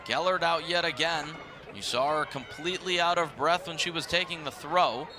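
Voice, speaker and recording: Man, slightly high voice, live play-by-play commentary, clear background stadium noise. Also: moderate pace. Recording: noisy live stadium broadcast. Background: stadium ambience.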